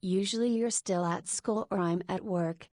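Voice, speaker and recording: A woman's voice: medium pitch, moderate pace, dark tone, no room sound, lightly acted. Woman as playful 40s single mom, principal, teasing banter; mid voice, dry close read. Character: 40-year-old single mother, school principal, playful. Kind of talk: voiced visual novel dialogue.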